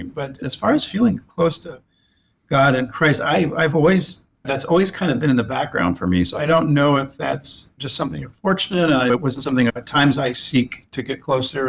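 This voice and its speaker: Gravelly older man, halting and reflective. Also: mid-range voice. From Alaska. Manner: rambling with frequent restarts and repeated words. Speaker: guest, orthopedic spine surgeon. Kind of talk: reflective interview conversation.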